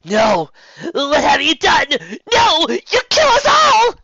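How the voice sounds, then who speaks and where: A man's voice: very high voice, huge pitch swings, muffled recording, voice pushed up, heavily acted. A man voicing an evil, arrogant unicorn enchantress from a fantasy story, theatrical and grandiose in manner. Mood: panicked. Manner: grandiose, shouting, drawn-out menacing delivery.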